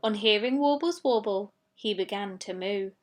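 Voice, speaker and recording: A woman's voice: medium pitch, normal speed. A woman reading a children's storybook expressively, with dramatic delivery of sound words. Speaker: story reader.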